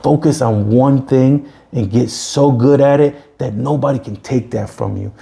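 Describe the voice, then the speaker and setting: Gravelly man in his forties, pep talk. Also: mid-range voice, measured pace, mellow. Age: 40s. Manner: earnest, repeats himself, pep-talk style. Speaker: podcast host. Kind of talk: casual motivational chat.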